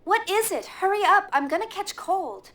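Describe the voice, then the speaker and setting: A woman's voice: very high-pitched, expressive, normal speed, fairly bright tone, a little hiss or hum. An actress playing an earnest young female scientist in an English-language video game cutscene. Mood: brisk, curious.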